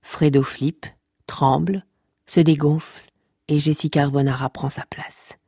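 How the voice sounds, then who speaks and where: An actor playing a mock-dramatic, deadpan narrator of a comic podcast fiction, speaking conspiratorially. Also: male voice, on the high side, unhurried, husky, no echo, clean studio sound. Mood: suspenseful. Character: mock-solemn tongue-in-cheek noir narrator.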